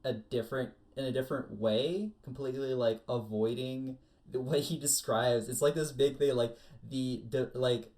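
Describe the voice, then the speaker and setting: A man's voice: mid-range voice, steady tone, moderate pace, few pauses, darkish tone. American man chatting casually, acting out quotes. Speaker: podcast panelist. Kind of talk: casual chat about anime.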